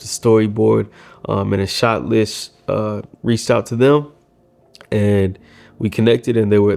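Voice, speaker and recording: A man, a native Swahili speaker, reflects on his filmmaking in a casual vlog, candid and thoughtful about his own shortcomings. His voice sits low with a gravelly fry and little rise or fall in pitch. Faint music plays behind him. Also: darkish tone. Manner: thoughtful, candid self-critique.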